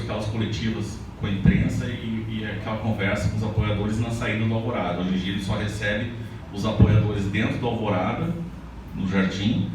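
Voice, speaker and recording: A man's voice: mid-range voice, noisy background. A man from southern Brazil giving a loose, conversational talk to an audience at a book launch, often restarting his sentences. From Paraná, Brazil. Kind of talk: speech to an audience. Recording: echoey hall, mic far off, audible ambience.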